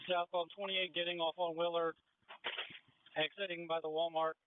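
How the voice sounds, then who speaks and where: A police officer in a pursuit, giving clipped, urgent updates over the radio. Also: male voice, a little high, level tone, moderate pace, smooth, phone-quality sound. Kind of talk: radio traffic during a chase.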